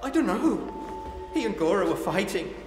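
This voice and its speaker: A man playing a wounded, frightened research scientist in a video game cutscene, halting and anguished. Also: sits high, sing-song. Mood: terrified, halting. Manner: halting, anguished, breaking into outbursts. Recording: echoey hall reverb, music behind.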